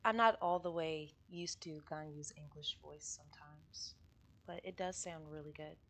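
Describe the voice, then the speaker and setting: A woman's voice: low-pitched, normal speed, fairly bright tone. A woman giving casual, teasing and gushing commentary as she reacts to a video game. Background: game music.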